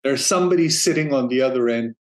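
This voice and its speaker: A man from Denmark, around his forties, a guest in an interview, telling his career story in a relaxed, easygoing way with frequent restarts and easy laughter. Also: medium pitch, fast. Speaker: guest, streaming operations manager. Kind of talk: casual interview talk.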